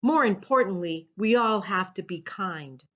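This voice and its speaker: An earnest, brave turtle who looks out for his friends a woman reading him from a storybook. He speaks slowly and reassuringly, on a slightly dull recording. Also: medium pitch, expressive, a bit bright. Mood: reassuring, kind. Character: brave, kind young male turtle, protective of friends.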